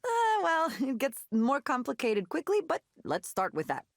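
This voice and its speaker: An actress voicing a playful, encouraging young woman musician in a visual novel, breezy and quick to tease. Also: sits high, huge pitch swings, no room sound, studio quality, exaggerated voice. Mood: breezy explaining. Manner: breezy, laughing, teasing.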